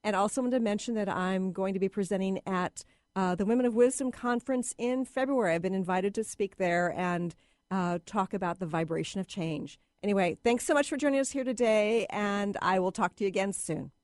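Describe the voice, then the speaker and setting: A woman hosting a radio talk show, chatting casually with a guest, often pausing with fillers. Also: mid-range voice, darkish tone, dry recording, studio quality. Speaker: radio talk show host and energy healer. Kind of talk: casual interview chat.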